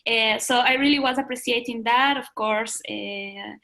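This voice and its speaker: A middle-aged woman from Ecuador with a Spanish accent, answering interview questions with many pauses, fillers and repeated words. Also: a little high, bright, forceful tone. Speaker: guest.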